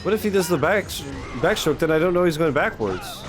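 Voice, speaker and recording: A man's voice: fairly high pitch, expressive, fairly quick. A man chatting casually as a streamer reacting to a game, blunt, slangy and freely swearing. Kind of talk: casual live-stream chat. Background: game music.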